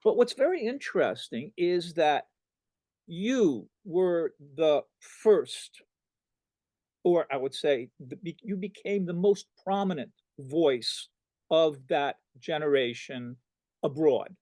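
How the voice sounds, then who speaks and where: A man with a fairly high voice leads the conversation as its moderator. He piles up qualifiers and corrects himself mid-phrase, in a dry, close sound. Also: animated, darkish tone, no room sound. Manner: fast asides, lots of qualifiers and self-corrections. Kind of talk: public conversation on stage.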